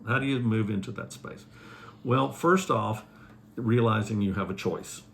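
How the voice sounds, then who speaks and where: Gravelly low-voiced male coach. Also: measured pace, a little hiss or hum. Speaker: relationship coach. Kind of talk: casual conversational coaching.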